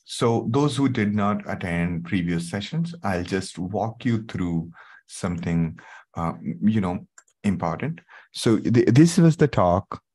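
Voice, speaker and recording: A man's voice: lively intonation, takes it slowly, darkish tone, breathy edge. A middle-aged man with an Indian English accent, presenting a tech webinar in a soothing, conversational way with frequent "okay"s. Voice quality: soothing, low voice. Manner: conversational, many fillers like "okay" and "right". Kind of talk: live online tech webinar.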